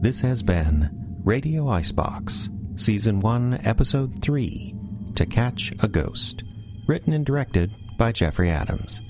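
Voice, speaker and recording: A man's voice: fairly low pitch, animated, unhurried, music in the background. A man reading scripted closing credits as the announcer of a radio show.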